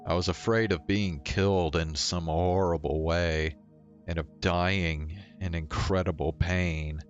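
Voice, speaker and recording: A man with a low voice narrates a visual novel in first person, giving it a dramatic storytelling delivery. The sound is slightly dull and lo-fi, with faint music behind him. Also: steady tone, a little slow. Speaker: streamer reading a visual novel aloud. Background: faint game music.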